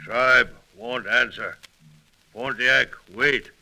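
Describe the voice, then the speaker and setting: An actor playing a proud, grave war chief who speaks terse, halting broken English in a 1930s radio drama. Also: male voice, medium pitch, pushed and bright, exaggerated voice, low-quality recording. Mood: solemn.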